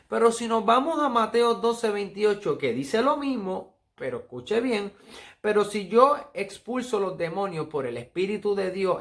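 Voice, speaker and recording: A man's voice: sits high, vocal fry. A man with a Puerto Rican accent giving an earnest Bible study sermon and reading verses aloud. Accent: Puerto Rican Spanish. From Puerto Rico. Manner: earnest, teaching, reads verses aloud slowly. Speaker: preacher.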